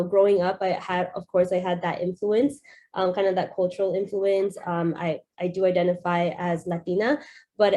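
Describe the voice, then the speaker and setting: A woman's voice: lowish voice, dark tone. A young Canadian woman in her twenties, a youth coordinator presenting over a video call in a friendly, chatty way with many ums. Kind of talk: online presentation.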